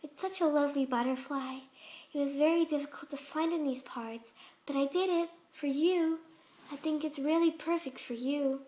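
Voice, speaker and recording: A woman plays a female pegasus pony with a twisted love of her grim work, in a storybook horror reading. The voice sits very high and coos gently. It stays sweet and serene while sounding unhinged. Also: steady tone, moderate pace, lightly acted. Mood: soothing.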